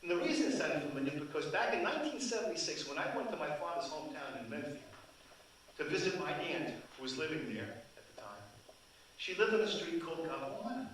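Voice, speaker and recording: A man's voice: a little high, sing-song, a little fast, a bit bright, slight background noise. An older man, a translator whose first language is Sicilian, telling rambling anecdotes with many restarts at a book event. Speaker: translator and author. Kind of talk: storytelling talk at a book event. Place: event hall. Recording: echoey hall, mic from far.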